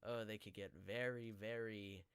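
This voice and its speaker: Male podcast host, clean smooth tone, informal live chat, meandering and halting in his phrasing. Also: lowish voice, steady tone, fairly bright tone. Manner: hesitant, rambling, often trailing off mid-thought. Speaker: podcast host and amateur voice actor.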